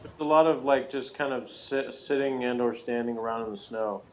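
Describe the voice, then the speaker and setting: A man's voice: mid-range voice, normal speed. A man chatting casually as a co-reviewer, with a self-deprecating way of talking. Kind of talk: casual chat. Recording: dull lo-fi audio, faint noise.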